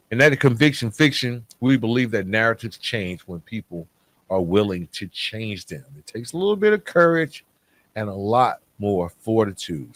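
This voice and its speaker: A man hosting a podcast monologue, speaking to listeners in an earnest, persuasive way with rhetorical questions. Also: lowish voice. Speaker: podcast host.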